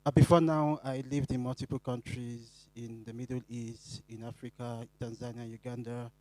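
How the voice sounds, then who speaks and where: A man with a Nigerian accent and a mellow, dark timbre speaks to the audience in a friendly, upbeat way. His voice is close and dry, with no room sound. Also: mid-range voice, flat delivery, normal speed, no room sound, low-quality recording. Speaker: panelist, talent recruiter. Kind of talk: panel self-introduction.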